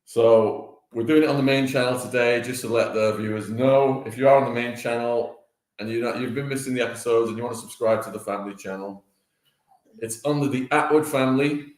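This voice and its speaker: A man's voice: mid-range voice, fairly even intonation, moderate pace. A man hosting a casual breakfast live stream, chatting and greeting viewers by name. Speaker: livestream host. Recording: echoey room.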